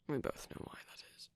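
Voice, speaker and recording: A performer playing a shy, caring vampire boyfriend in an intimate ASMR roleplay, speaking softly and breathily with hesitant pauses. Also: dry recording, lightly acted. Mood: sympathetic, earnest. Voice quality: breathy, often near whisper. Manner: soft-spoken, breathy, halting with gentle pauses.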